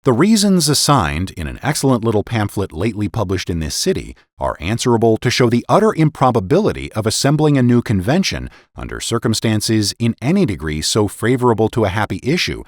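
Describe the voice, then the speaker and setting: A man's voice: on the low side, huge pitch swings, a little fast, hardly any pauses, no room sound, studio quality. A man giving a dramatic reading of historical political essays in a formal, emphatic, oratorical manner. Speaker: podcast host and narrator.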